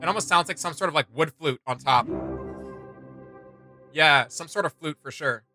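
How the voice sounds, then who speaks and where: High-voiced enthusiastic man chatting over music. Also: normal speed, sharp tone. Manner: enthusiastic, rambling, frequent "like" filler. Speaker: reaction video host. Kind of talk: casual excited chat reacting to game sounds.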